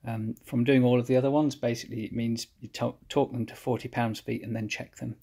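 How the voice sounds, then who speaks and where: A man calmly explaining his engine rebuild step by step while he works at a cluttered workshop bench. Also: medium pitch, vocal fry, slightly rough. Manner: methodical, plain explanatory, pauses mid-phrase. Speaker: home mechanic rebuilding an engine. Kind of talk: hands-on workshop walkthrough.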